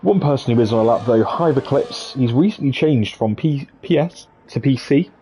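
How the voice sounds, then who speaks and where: A man's voice: medium pitch, normal speed, warm tone, slight background noise, poor audio. A man giving live, chatty commentary on an online racing league, upbeat with playful asides. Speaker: esports race commentator.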